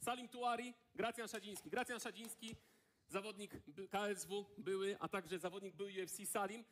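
A man's voice: high voice, fairly even intonation, normal speed, fairly bright tone, slight background noise. A man hosting a live press conference, moderating and calling the room to order.

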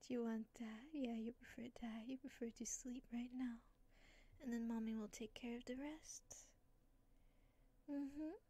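A woman speaking in soft, breathy whispers in a soothing ASMR roleplay as a comforting motherly caretaker. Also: a little high, steady tone, unhurried. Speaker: ASMR creator.

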